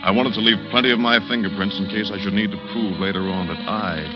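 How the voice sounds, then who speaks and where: Radio suspense lead, male actor: calculating schemer and narrator, low register, measured and clipped, muffled period sound. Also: fairly even intonation, natural voice. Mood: coolly calculating. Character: cool, calculating male schemer planning to impersonate his double. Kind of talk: 1940s-1950s radio drama acting, first-person suspense narration. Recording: dull vintage radio recording with music.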